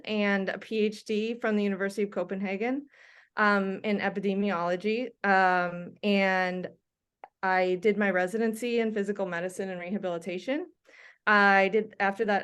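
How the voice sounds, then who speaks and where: Chatty woman with a smooth voice. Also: mid-range voice, a bit bright. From Denmark. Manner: chatty, many ums and restarts. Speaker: guest, doctor and researcher. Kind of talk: conversational interview.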